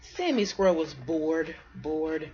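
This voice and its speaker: A woman's voice: deep voice, lively intonation, slow, darkish tone. A woman from the United States speaking personally and reading aloud for children as an amateur storybook reader. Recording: dull, muffled amateur recording.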